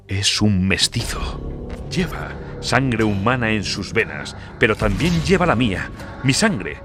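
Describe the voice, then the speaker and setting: A man's voice: lowish voice, sing-song, takes it slowly, over music. A man voicing an anguished man confessing his grim dread in a horror audiobook. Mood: bitter, fearful.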